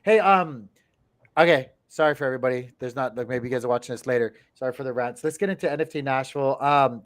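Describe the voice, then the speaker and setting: Lively man from Hawaii hosting. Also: fairly high pitch. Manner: laughs often, repeats himself. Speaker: host. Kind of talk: casual livestream chat. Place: home studio, indoors. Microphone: headset with boom mic.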